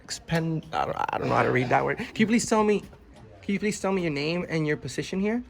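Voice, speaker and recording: Pushy young man with a gravelly voice. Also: a little high, animated, fast, long reverb tail, a little hiss or hum. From Florida. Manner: pushy, interrupting, repeats demands. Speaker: YouTube auditor filming a public office. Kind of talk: confrontational on-camera questioning. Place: indoor government office. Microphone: handheld phone camera.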